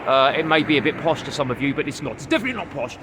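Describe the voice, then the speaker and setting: London bloke vlogging as he walks, higher voice, a bit out of breath, says guys a lot, traffic noise all round. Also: animated, racing. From London, England. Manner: chatty, addresses viewers as guys, many uh fillers. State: walking, gets out of breath. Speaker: vlogger and comedian. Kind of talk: casual vlog talking to camera. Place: outdoors on a busy city street. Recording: street noise and passing vehicles. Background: street traffic.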